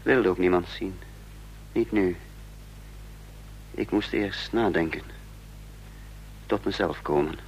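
Deep-voiced, troubled man. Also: dull audio. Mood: grave. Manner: measured, dramatic delivery. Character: troubled man caught up in political intrigue, narrating gravely. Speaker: narrator and lead actor in a radio play. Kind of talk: dramatic radio play acting with first-person narration. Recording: faintly noisy, humming radio play recording.

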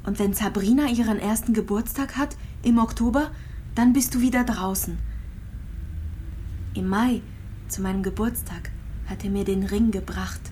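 A frail, gravely ill young mother voiced by an actress in an intimate radio play. She confides haltingly, circling back over her phrases with fear and resignation. Her voice sits on the low side of middle for a woman, with faint ambient noise behind it. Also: warm tone, lightly acted. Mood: quiet, sad. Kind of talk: intimate radio drama monologue and dialogue.

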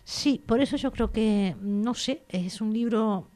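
A woman author from Argentina, talking in a relaxed interview in a thoughtful, digressive way, often pausing to search for words. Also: on the high side.

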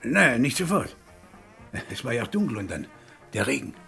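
An actor playing a hesitant innkeeper under police questioning in a crime radio play, stammering and groping for answers in a creaky voice. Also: male voice, mid-range voice, big pitch swings, moderate pace, sharp tone, faint music behind, heavily acted. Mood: hesitant, unsure.

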